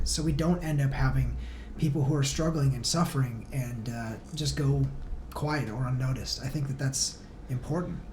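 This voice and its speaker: Male band-singer type, slightly high voice, earnest and informal interview talk, faint noise behind. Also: darkish tone. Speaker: band singer, podcast guest. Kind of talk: casual podcast chat.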